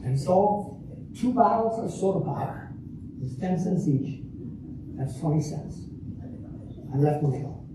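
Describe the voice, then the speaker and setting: An older man playing a stingy, scheming husband in an amateur comedy reading, halting and folksy as he repeats himself. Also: high voice, expressive. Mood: sheepish. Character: stingy, scheming old husband at a diner counter. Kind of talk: amateur reader's theater comedy performance. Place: large echoey room, live stage reading. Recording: echoey hall from far off, noisy.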